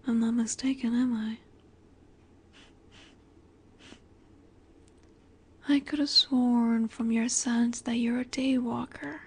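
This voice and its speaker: A woman playing a poised, teasing young vampire in an intimate audio roleplay, speaking gently and unhurriedly with breathy asides. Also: a little high, exaggerated voice.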